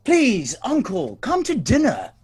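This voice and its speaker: Man as earnest young nephew, upbeat, husky, slow, very lively pitch, radio play. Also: heavily acted. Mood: pleading. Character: cheerful young male nephew, warm-hearted and pleading.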